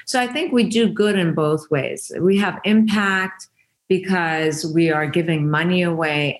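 A woman from Iran whose first language is Farsi, telling stories earnestly and reflectively as an interview guest, with frequent restarts. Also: lowish voice. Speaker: interview guest, founder of a women's giving circle. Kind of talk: conversational interview storytelling.

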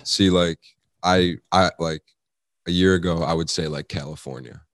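Young guy, rapper on a podcast, crazy deep baritone, dry close sound, just chatting. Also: steady tone, moderate pace, dry recording. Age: young adult. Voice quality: very low baritone. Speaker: rapper, interview guest. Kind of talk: casual chat.

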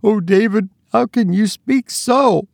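A man's voice: fairly high pitch, animated, a little slow, gravelly, darkish tone, no echo, clean studio sound, pitched above the performer's own voice. A man putting on a sobbing, frightened mother. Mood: sobbing plea. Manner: sobbing, pleading. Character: frightened, tearful middle-aged mother.

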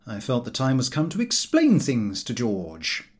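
A man reading a comic novel aloud as an expressive audiobook narrator. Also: medium pitch, unhurried.

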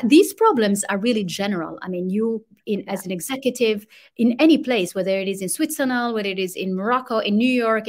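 A woman hosting a live online interview series, speaking in an effusive, welcoming manner. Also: medium pitch.

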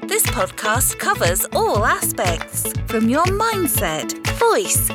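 A woman's voice: on the high side, wildly swooping pitch, takes it slowly. A woman reading a scripted podcast intro as announcer. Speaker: show announcer. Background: loud upbeat music.

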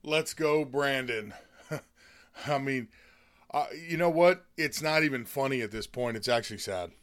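A man from the United States, hoarse from a chest cold, giving a scornful, indignant opinion monologue as host. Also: medium pitch, a little slow, a bit bright, no room sound, clean studio sound. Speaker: political commentary host.

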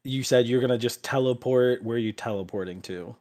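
A man's voice: medium pitch, a little fast, a crowd in the background. A man acting as game master, explaining options and narrating a tabletop game in informal, profane talk.

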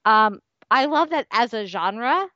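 A woman's voice: fairly high pitch, animated, slow, pushed and bright, no room sound, lo-fi sound. A woman co-hosting a fan podcast in casual, amused chat. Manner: giggly, amused. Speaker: podcast co-host.

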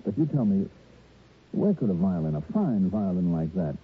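Matter-of-fact American insurance investigator, deep pitch, clipped dry delivery, hard-boiled radio drama, muffled vintage recording. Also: male voice, big pitch swings, moderate pace, husky, heavily acted. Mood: probing, businesslike. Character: cool, matter-of-fact male insurance investigator narrating his case. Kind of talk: old-time radio detective drama, narration and dialogue.

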